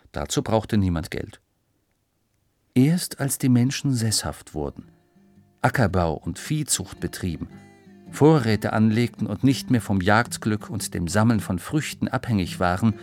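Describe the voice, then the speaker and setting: A man's voice: on the low side, darkish tone, no echo, studio quality. A man reading scripted educational narration for children, explaining in a storytelling way with rhetorical questions.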